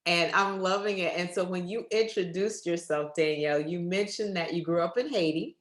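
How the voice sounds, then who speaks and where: A woman's voice: on the low side, normal speed, echoey room. Enthusiastic woman hosting a faith podcast. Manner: enthusiastic, preacherly. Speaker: podcast host.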